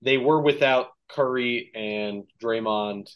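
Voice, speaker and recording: A man's voice: medium pitch, slow, highs cut off. A man hosting a casual sports podcast, recapping games with plenty of ums and filler, his voice a little worn. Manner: relaxed, filler-heavy recap of game stats. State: slightly losing his voice. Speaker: podcast host.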